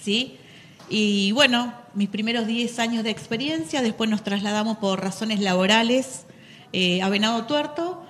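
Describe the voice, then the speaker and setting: A woman's voice: a little high, moderate pace, a bit bright, long reverb tail, a little hiss or hum. A middle-aged Argentine woman teacher talking earnestly in a studio interview, with many fillers. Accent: Argentine Spanish. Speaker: teacher of economics, guest. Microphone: handheld mic.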